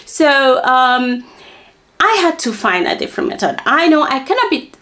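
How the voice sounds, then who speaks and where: A woman's voice: high voice, sing-song, normal speed, pushed and bright. A woman in her thirties with a Gambian accent, talking casually and personally to the camera as a vlogger, with emphatic storytelling. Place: small plain indoor room.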